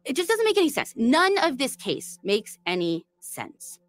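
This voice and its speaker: A woman's voice: high voice, wildly swooping pitch, moderate pace, no room sound, faint music behind. A woman hosting a true crime podcast, retelling a case in a chatty, storytelling way. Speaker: podcast host.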